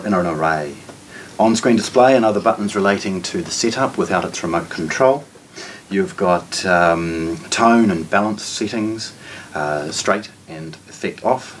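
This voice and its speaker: A New Zealand man with a fairly low voice demonstrates a product in measured, fragmented phrases. He sounds a little way from the microphone, in an indoor room. Clear background noise sits behind his matter-of-fact delivery. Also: far from the mic. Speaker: shop presenter of product unboxings. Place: carpeted indoor showroom room with shelves.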